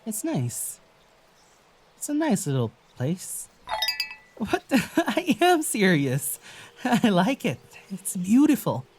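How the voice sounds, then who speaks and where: A gentle young traveler in an audio drama, musing slowly and haltingly. The voice is mellow and swings in pitch as the wary thoughts come out, with faint noise behind it. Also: huge pitch swings. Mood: friendly, tentative. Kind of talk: naturalistic audio drama monologue.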